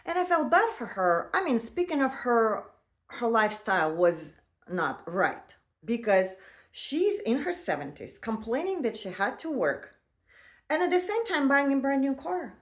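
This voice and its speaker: A woman in her fifties telling her own story in a casual, halting way, with many fillers and non-native phrasing. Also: on the high side, big pitch swings. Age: 50s. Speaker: vlogger.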